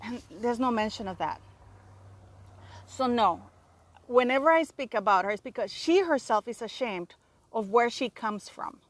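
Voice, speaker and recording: A woman's voice: fairly high pitch, expressive, moderate pace, pauses often, dry recording. A woman with a Canadian accent giving an indignant, rambling solo commentary as host of her own channel. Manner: emphatic, indignant, rambling with restarts.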